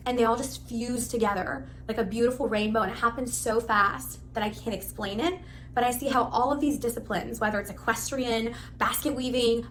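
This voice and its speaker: A woman in her thirties, originally from Pakistan, giving a spontaneous, rambling, animated self-introduction. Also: a little high, slight background noise. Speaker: live stream host applicant.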